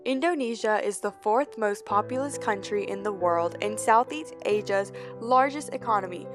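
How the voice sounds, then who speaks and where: A woman's voice: medium pitch, normal speed. A woman reading scripted documentary narration full of figures. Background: music.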